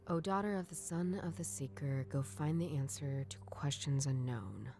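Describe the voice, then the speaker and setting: Young woman detective for a noir game: low, dark tone, dry musing narration, faint music bed. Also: normal speed, gravelly. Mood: pensive. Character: wry young woman detective chasing grandpa's riddles. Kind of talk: scripted game voice-over, inner monologue.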